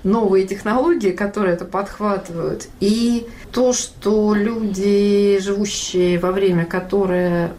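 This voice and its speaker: A woman interviewer asking analytical questions in a measured, wordy, intellectual manner. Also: medium pitch, fairly even intonation, mellow, slight background noise.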